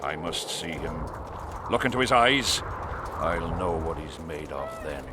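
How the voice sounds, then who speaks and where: A man's voice: very deep voice, lively intonation, unhurried, very noisy. An actor playing a domineering, scheming middle-aged warrior king in a video game, with a commanding, sardonic manner. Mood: calculating. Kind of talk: dramatic video game acting.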